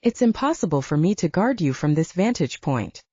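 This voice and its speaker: A woman voicing an arrogant, protective male wolf shifter with formal, smooth talk in an audiobook. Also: lowish voice, big pitch swings, moderate pace, a little mellow. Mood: earnest, protective. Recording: dull lo-fi, roomy sound.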